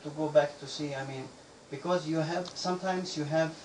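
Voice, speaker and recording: A man's voice: a little high, fairly even intonation, normal speed, darkish tone. A man from Bosnia asking a speaker questions, hesitant, with many restarts and repeated words. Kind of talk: halting question from an audience member. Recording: echoey room, speaker far from the mic, clear background noise.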